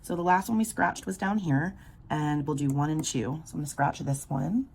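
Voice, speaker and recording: Scratchy, low-voiced woman. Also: normal speed, creaky. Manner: quiet, murmured counting of bills. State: sore throat, scratchy voice. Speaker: budgeting vlogger. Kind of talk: casual cash-stuffing walkthrough.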